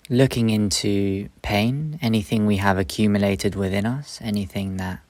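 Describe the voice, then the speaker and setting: A man leading a guided meditation, speaking slowly and gently in short, soft phrases with long pauses. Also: fairly low pitch, gravelly, dry recording. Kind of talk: guided meditation, instructional and soothing.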